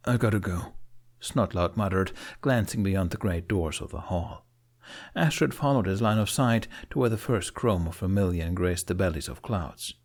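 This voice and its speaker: A man reading scripted fiction as an audiobook narrator. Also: fairly low pitch.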